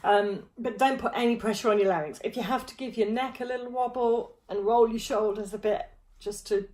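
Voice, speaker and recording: A woman, teaching a vocal warm-up to camera from a small room at home, friendly and demonstrative. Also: on the high side, a little fast. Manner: friendly, demonstrative, touching throat and jaw while explaining. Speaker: choir vocal coach.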